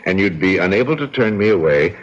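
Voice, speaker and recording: An actor playing a charming, cold, calculating villain in a vintage mystery radio drama, smug and quietly menacing. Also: male voice, low-pitched, animated. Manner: smug, suave, measured menace. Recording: lo-fi, noisy old radio recording.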